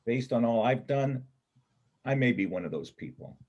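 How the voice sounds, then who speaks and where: A man performing a reader's theater part as a gruff, cynical bank robber and combat veteran, blunt and colloquial in his talk. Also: mid-range voice, animated, normal speed, darkish tone, dull audio, close to an everyday voice. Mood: wry, guarded. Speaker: amateur church play performer.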